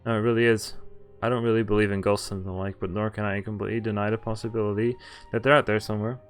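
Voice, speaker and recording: A man's voice: fairly low pitch, level tone, quick pace, smooth, soft background music. A male streamer reading visual novel text aloud, sometimes stumbling and correcting himself. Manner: stumbles over words and self-corrects. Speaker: game streamer.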